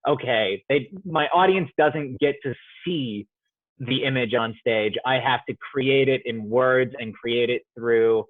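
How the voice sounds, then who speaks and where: A man's voice: medium pitch, no echo. A man, a playwright, answering interview questions in a reflective way, with many fillers and restarts, over a remote call. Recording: tinny phone-like line, dry.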